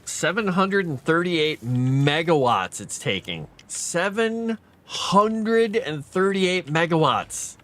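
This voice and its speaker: A man's voice: a little high, expressive, takes it slowly, few pauses, fairly bright tone. A tired man streaming a game late at night, thinking aloud and chatting with viewers. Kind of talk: casual gameplay live stream commentary.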